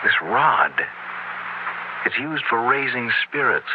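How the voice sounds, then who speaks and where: An actor playing an earnest, determined academic who explains things hesitantly, in a 1970s radio drama. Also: male voice, mid-range voice, big pitch swings, takes it slowly. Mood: absorbed, uneasy. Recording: dull, lightly noisy 1970s radio recording.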